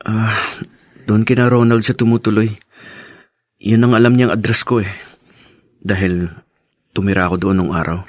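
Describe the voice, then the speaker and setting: An actor playing an earnest, pleading husband in a Filipino radio drama, hesitant and tender. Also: male voice, lowish voice, steady tone, normal speed, long pauses, dry recording, studio quality, close to an everyday voice. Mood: earnest, explaining. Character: earnest, pleading husband, devoted son of an ex-convict.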